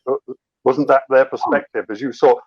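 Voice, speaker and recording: A man's voice: mid-range voice, steady tone, moderate pace, husky, dry recording, dull audio. A man co-hosting an interview, asking probing questions with stumbling restarts. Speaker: podcast co-host.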